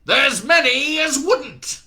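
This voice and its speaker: A man voicing a gruff, testy old Witchfinder sergeant with a rumbling voice and an accent that wanders across British regions. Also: big pitch swings, slow, bright, forceful tone, full character voice. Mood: bellowing. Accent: wandering mix of British regional accents.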